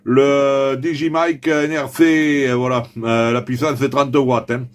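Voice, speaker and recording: A man's voice: medium pitch, level tone, a little slow. Guy chatting on cb radio, rambly and casual, lots of little filler tags. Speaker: CB radio operator. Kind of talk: casual amateur radio chat.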